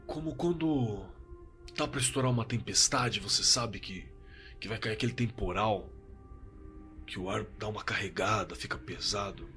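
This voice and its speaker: A Brazilian man telling a story reflectively, halting and repeating phrases for emphasis. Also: fairly low pitch, bright, forceful tone. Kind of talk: slow reflective storytelling with dramatic pauses. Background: music.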